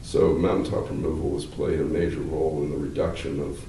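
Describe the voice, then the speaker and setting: Older male professor, mellow dark tone, halting with fillers, slightly distant mic, faint hiss. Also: extremely low pitch, little pitch movement, echoey room. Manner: measured, halting, many fillers and restarts; gestures with hands while talking. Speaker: law professor. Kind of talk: explanatory interview answers. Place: wood-paneled interview room, seated indoors.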